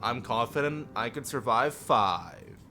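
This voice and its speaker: A man's voice: medium pitch, lively intonation, unhurried, pushed and bright. A man livestreaming, reading a visual novel aloud with joking asides and stumbling over the text now and then. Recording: music playing behind the voice. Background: music.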